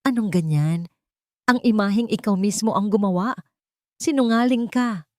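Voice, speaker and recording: A woman's voice: mid-range voice, normal speed, no echo, clean studio sound, natural voice. A woman voicing a betrayed pregnant wife in a dramatic audio story, emotional, anguished and defiant. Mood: anguished, accusing.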